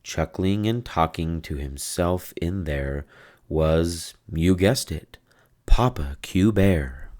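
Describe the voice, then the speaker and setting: Very deep, slow storybook narrator. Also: a little mellow. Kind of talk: scripted children's storybook narration.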